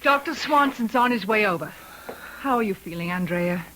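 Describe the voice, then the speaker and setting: An actress playing a composed, solicitous woman in an old-time radio mystery, with measured, careful delivery. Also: mid-range voice, expressive, bright, forceful tone, slight background noise, low-quality recording. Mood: concerned.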